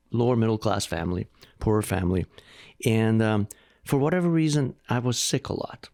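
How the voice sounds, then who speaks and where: A man's voice: on the low side, gravelly, darkish tone, dry recording, studio quality. A middle-aged man from Iran, a hospital president, telling his life story in an earnest, reflective interview. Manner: earnest, reflective, speaks with conviction.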